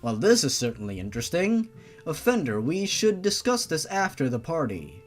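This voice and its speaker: Monster father, read by a narrator: formal, measured, quietly amused, story reading with music behind. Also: medium pitch, very animated.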